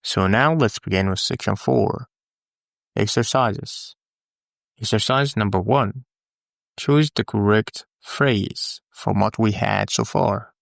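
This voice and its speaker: A man who is a native Arabic speaker, teaching English in a scripted, deliberate, instructional lesson style. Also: lowish voice, vocal fry, no echo. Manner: deliberate, instructional, with pauses for the learner. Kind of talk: scripted language lesson, repeat-after-me drills.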